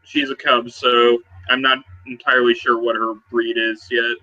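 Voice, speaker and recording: A man narrating and improvising in character as a role-playing game player, in a halting, conversational way. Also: mid-range voice, flat delivery, pushed and bright, lo-fi sound.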